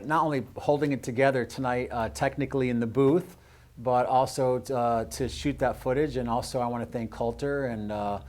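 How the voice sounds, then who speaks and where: A man hosting a casual live interview, speaking in a halting, loosely improvised way. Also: mid-range voice. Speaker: show host.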